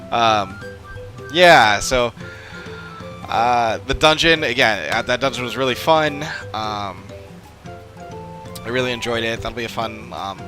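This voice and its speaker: A man's voice: medium pitch, slow, sharp tone. A man chatting casually and unscripted on a live game stream, rambling as he thinks aloud. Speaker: live streamer playing an online role-playing game. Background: game music.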